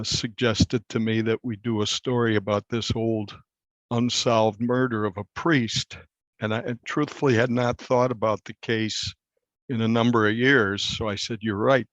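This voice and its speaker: An American man in his sixties, a newspaper reporter, speaking conversationally and earnestly in an interview, in measured phrases. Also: medium pitch, no room sound, dull audio. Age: 60s.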